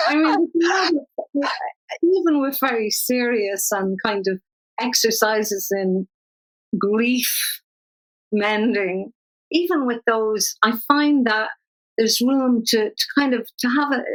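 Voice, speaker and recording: An older woman poet with an Irish accent, talking thoughtfully with many restarts and reading her verse slowly. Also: a little high, rough recording. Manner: thoughtful, searching, frequent restarts; slow measured poetry reading. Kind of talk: conversational interview with poetry reading.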